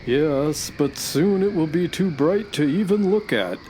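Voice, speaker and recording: Woman voicing a low-pitched, sensible space horse. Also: lively intonation, measured pace, dark tone, a little hiss or hum, poor audio. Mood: concerned. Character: sensible flying space horse, loyal sidekick to a girl. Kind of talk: children's radio adventure acting.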